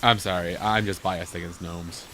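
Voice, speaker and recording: A low-pitched man talks casually as he plays a video game with a co-host. He rambles and jokes, starting sentences haltingly, over faint background noise on a home recording. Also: steady tone, fairly bright tone. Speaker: gaming video co-host and player. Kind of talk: casual banter while playing a game. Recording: noisy home gameplay recording.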